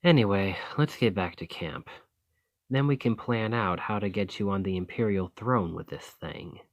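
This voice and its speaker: A man's voice: lowish voice, moderate pace, no room sound, dull audio, lightly acted. A man playing a roguish bandit leader in an ASMR roleplay, teasing and grudgingly impressed, with folksy turns of phrase. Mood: curious, teasing. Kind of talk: ASMR roleplay acting, one-sided dialogue.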